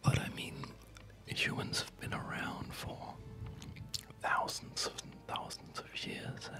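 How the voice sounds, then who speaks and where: Asmr gaming host, all whispered, meandering unscripted thoughts, really unhurried, music in the background. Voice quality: soft unvoiced whisper. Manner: reflective, hesitant, meandering. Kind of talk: ASMR, unscripted rambling over gameplay.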